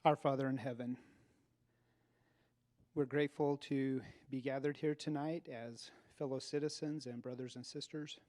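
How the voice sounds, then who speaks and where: A man with an American accent leads a formal prayer at a public gathering. His voice is mellow and mid-pitched, and his wording is reverent, measured and old-fashioned. He speaks into a mic in a hall. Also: steady tone. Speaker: prayer leader at an event. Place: event hall.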